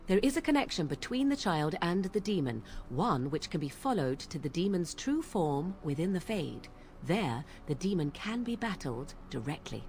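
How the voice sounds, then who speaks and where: An actress voicing an aloof witch in a fantasy game, speaking in measured, archaic phrasing. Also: on the low side, darkish tone, slight background noise. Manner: cool, measured, archaic diction. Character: aloof, wry witch woman, archaic speech.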